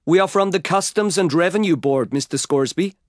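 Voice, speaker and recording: A man voicing a calm, firm young male customs officer in an audiobook, who speaks in formal, measured commands. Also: high voice, dry recording, clean studio sound, voice pushed up, natural voice. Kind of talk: audiobook character voice.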